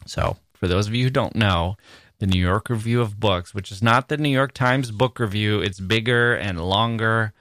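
A man's voice: lowish voice, moderate pace, fairly bright tone, dry recording. A middle-aged American man hosting a podcast in a casual, chatty, wry monologue on a close microphone. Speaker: podcast host.

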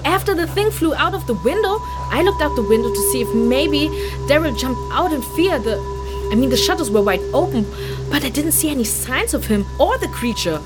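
An actress playing a chatty young woman, a refugee villager in a fantasy audio drama, speaking casually and haltingly with exclamations. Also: sits high, lively intonation. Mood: shaken. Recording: music behind the voice.

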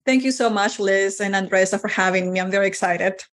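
A woman's voice: medium pitch, a little fast. A woman from Colombia, guest on a podcast, answering reflectively with frequent "like" fillers. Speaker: guest, engineer and real estate investor.

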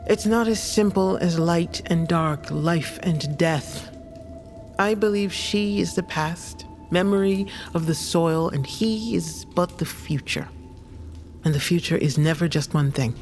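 An actress playing a stern, wise older warrior grandmother in an audio drama, speaking in a measured, commanding way. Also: on the low side, a little mellow, soft background music. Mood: grave, mystical. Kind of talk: audio fiction drama acting.

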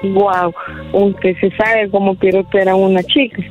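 A woman's voice: mid-range voice, flat delivery, a little slow, soft background music. Salvadoran lady on a muffled phone line, polite and giggly. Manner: polite, giggly, a bit cautious. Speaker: caller on the phone.

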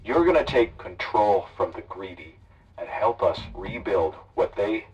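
An actor playing a calm, sardonic male hijacker who threatens and persuades by turns, sounding muffled and distant. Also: fairly low pitch, measured pace, husky, heavily acted. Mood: earnest. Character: smooth male eco-activist hijacker, menacing. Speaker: radio drama character.

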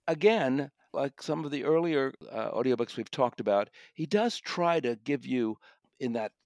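A man's voice: mid-range voice, normal speed, a little mellow, dry recording, studio quality. A man co-hosting a podcast, reviewing audiobooks in a discursive, conversational way and often rephrasing his point.

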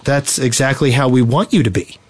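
A man's voice: mid-range voice, normal speed. A man co-hosting a podcast with casual joking banter, full of sarcastic ribbing and mock seriousness. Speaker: podcast co-host.

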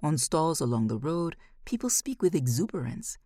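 Woman reading a novel aloud, low mellow voice, really expressive, super dry close sound, measured and hushed at the ends. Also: no echo. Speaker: audiobook narrator. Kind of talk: scripted narration of literary fiction.

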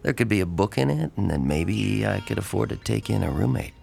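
An actor playing an easygoing, protective male newspaper reporter in an intimate audio drama, with a gravelly voice and a casual, wry manner. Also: on the low side, expressive, brisk, a little mellow, slight background noise. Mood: wry, confiding. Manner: casual, wry, hesitant at tender moments.

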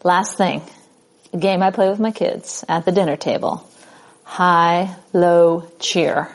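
A woman in her forties hosting a casual, playful interview, animated and quick to laugh. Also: fairly low pitch. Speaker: interview host.

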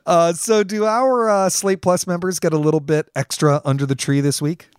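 A man's voice: sits high, steady tone, moderate pace, smooth, dry recording. A man presenting a podcast in an upbeat, conversational style on a close mic. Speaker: podcast host.

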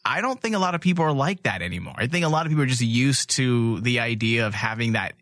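A man's voice: medium pitch, lively intonation, fast talker, a bit bright, no echo, studio quality. A man hosting a casual, opinionated podcast, talking bluntly and emphatically with some swearing. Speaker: podcast host.

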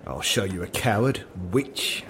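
A man's voice: lowish voice, expressive, measured pace, noisy background. A man voicing a prickly, combative rogue with a snappish, challenging manner in a fantasy audio drama. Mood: threatening.

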